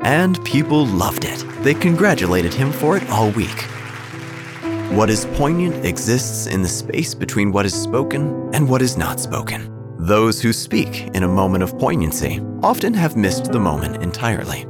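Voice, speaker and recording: A man narrating a dark comic story in a dry, deadpan way. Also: fairly low pitch, normal speed. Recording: music bed under the voice.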